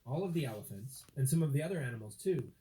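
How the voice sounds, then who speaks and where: A woman reading a children's story aloud expressively, with dramatic, drawn-out phrasing. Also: rumbling low, lively intonation, mellow, slight background noise, rough recording.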